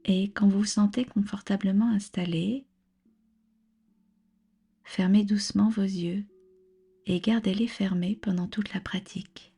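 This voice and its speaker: A woman guiding a relaxation meditation as a yoga nidra instructor, with a soft, soothing, breathy delivery and measured, gentle instructions. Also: medium pitch, fairly even intonation, soft background music.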